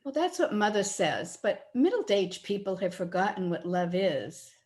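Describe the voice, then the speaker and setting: A woman's voice: medium pitch, animated, measured pace, darkish tone, lightly acted. A woman playing a headstrong, lovestruck young daughter in an old-time radio drama, earnest and a little petulant. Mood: let down. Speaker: actor in an amateur radio play. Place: at home, recorded over video call.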